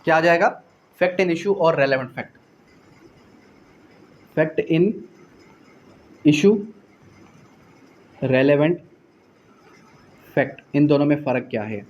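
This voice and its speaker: A man's voice: on the high side, extremely slow, long pauses, lo-fi sound. A man with a Pakistani accent giving a didactic law lecture, repeating phrases, asking rhetorical questions and mixing in English legal terms. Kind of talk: exam-prep lecture, explaining point by point.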